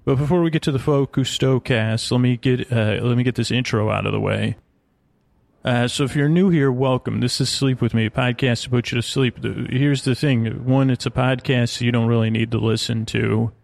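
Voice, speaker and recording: A man with a creaky voice hosting a bedtime podcast, meandering haltingly through lulling tangents. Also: mid-range voice, steady tone, dry recording. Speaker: podcast host. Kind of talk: rambling bedtime podcast monologue.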